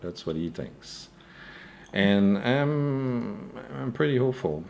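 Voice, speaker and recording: A man's voice: medium pitch, animated, slow pace, creaky, darkish tone, a little hiss or hum. A man with a French accent, a native French speaker, hosting a live crypto news stream. He answers viewer questions in a hesitant way, with many fillers and a repeated "okay?". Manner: hesitant, frequent "uh" and tag "okay?".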